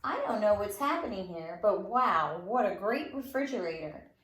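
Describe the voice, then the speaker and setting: A woman voicing an excitable male junk shop owner from a storybook, exclamatory and full of wonder. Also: medium pitch, normal speed. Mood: amazed. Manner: exclamatory, dramatic. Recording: roomy, echoing room sound.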